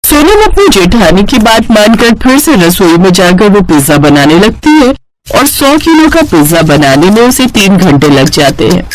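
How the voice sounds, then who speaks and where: A woman's voice: mid-range voice, big pitch swings, normal speed, poor audio. A woman reading scripted narration for a cartoon moral story.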